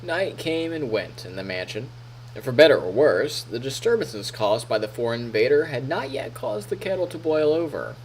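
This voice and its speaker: A man's voice: mid-range voice, big pitch swings, normal speed, a little hiss or hum, rough recording. A male streamer reading a visual novel aloud expressively as narrator, on a close microphone. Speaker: live streamer. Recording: music faintly behind.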